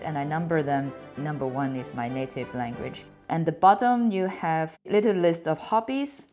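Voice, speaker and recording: A woman's voice: sits low, darkish tone. A woman in her thirties from Hong Kong, with a Hong Kong accent and Cantonese as her first language, earnestly explaining career advice to the camera in a small room. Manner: earnest, slightly non-native phrasing, clear explanatory tone. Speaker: career advice video host. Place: small indoor room, plain background. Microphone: camera framed mid-shot. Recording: slightly dull sound with faint music.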